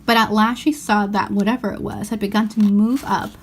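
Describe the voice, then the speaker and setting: A woman reading a children's novel aloud as an expressive storyteller. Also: mid-range voice, brisk, a little hiss or hum. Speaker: storybook reader.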